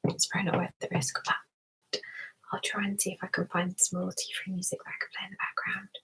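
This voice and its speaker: A woman hosting an ASMR stream, speaking in a soft whisper with a gentle, soothing, unhurried manner. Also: on the low side, monotone, husky, poor audio. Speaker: ASMR live stream host. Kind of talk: ASMR personal attention roleplay.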